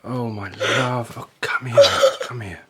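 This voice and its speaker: An actor playing a shaken, haunted young Victorian husband, urgent and halting, in a radio drama. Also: male voice, medium pitch, steady tone, very noisy, natural voice. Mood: tender, worried.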